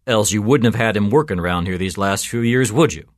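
Man putting on a gruff, mocking police sergeant. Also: on the low side, brisk, no room sound, clean studio sound, lightly acted. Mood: smug, mocking. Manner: clipped, sardonic, taunting. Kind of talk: storytelling character voice.

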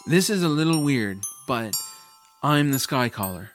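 A man's voice: mid-range voice, expressive, unhurried, faint music behind, natural voice. A man playing an earnest, self-doubting boy hero who narrates a fantasy audio drama in the first person. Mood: determined. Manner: earnest, youthful phrasing.

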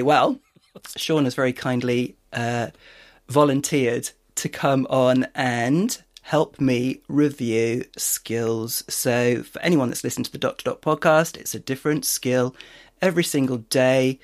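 A man hosting a podcast in relaxed, chatty talk, laughing often. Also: mid-range voice, a little slow. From northeast England. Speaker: podcast host. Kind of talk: casual chat.

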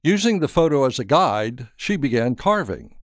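A man narrating a scripted story in a measured, dramatic storytelling manner. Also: medium pitch, sing-song, no echo, studio quality. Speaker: author and narrator.

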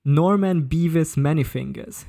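Creaky-voiced man presenting on a podcast. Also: fairly high pitch, unhurried, dark tone. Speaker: podcast panelist presenting a story. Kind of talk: casual presentation with read-out excerpts.

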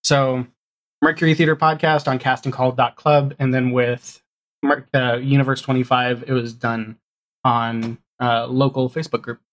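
A man chatting casually as an interview guest, telling stories in an animated way and acting out bits of conversation. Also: mid-range voice, moderate pace. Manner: animated storyteller, acts out quoted exchanges. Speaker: guest, audio drama showrunner.

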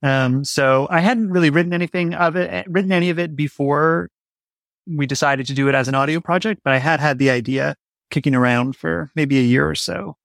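A man's voice: fairly high pitch, a little fast, gravelly, a bit bright, no room sound, clean studio sound. A man speaking casually as an interview guest, thinking aloud and often rephrasing himself as he explains his writing. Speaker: podcast guest, playwright.

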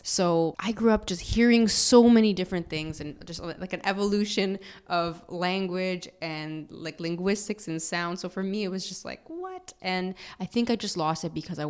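A woman from Canada talking casually and quickly as an interview guest, with lots of fillers. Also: lowish voice, darkish tone. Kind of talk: casual talk.

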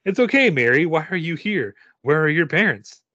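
A man voicing a nervous, gentle night worker who coaxes a child in a tabletop game. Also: a little high, very animated, moderate pace, dry recording, highs cut off, pitched above the performer's own voice, heavily acted. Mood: gentle, puzzled. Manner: thin-voiced, coaxing, concerned.

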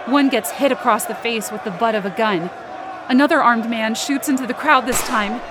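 A woman's voice: a little high, moderate pace, lightly acted. A woman playing an anxious, desperate woman who narrates her own ordeal urgently in an audio drama. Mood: alarmed, urgent. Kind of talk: first-person present-tense audio drama narration. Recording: noisy outdoor crowd sound effects behind.

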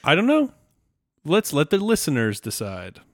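A man's voice: on the high side, huge pitch swings, moderate pace, dry recording. Man casually co-hosting a podcast, dry sound. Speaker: podcast co-host. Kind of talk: casual episode recap chat.

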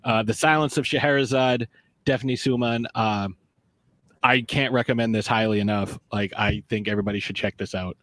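A man's voice: mid-range voice, steady tone, normal speed, a bit bright, no echo. Guy hosting a book podcast, rambly, lots of like and you know. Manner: rambling, lots of fillers and restarts. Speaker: podcast host. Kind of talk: casual interview chat.

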